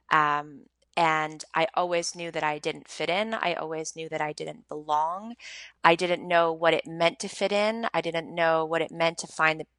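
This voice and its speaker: A woman's voice: low voice, sharp tone, dry recording, low-quality recording. A woman in her thirties from Montreal, a podcast guest talking frankly and reflectively about her life, with many ums and restarts. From Montreal, Canada. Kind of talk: candid personal storytelling.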